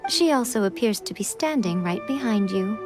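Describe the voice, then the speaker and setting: A woman playing a sly, teasing, poised shrine priestess in an anime video game, speaking in a coy, deliberate way. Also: medium pitch, animated, music in the background, lightly acted. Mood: sly, composed.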